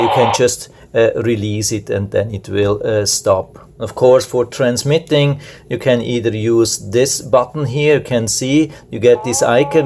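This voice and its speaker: A man's voice: mid-range voice, darkish tone. A man giving a casual, unscripted product demonstration in a matter-of-fact, explanatory way. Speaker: product reviewer.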